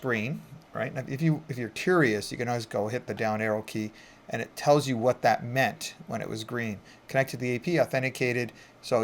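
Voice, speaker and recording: Casual man walking through a tech tutorial. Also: mid-range voice. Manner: informal, conversational, explaining step by step. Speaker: IT instructor and presenter. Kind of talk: casual screen-recorded tutorial narration.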